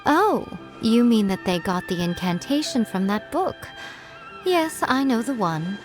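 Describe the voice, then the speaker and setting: A woman playing a poised, sly yokai shrine priestess in an anime game, teasing and condescending with unhurried authority. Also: on the high side, sing-song. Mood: nonchalant. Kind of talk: anime video game dub acting. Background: music.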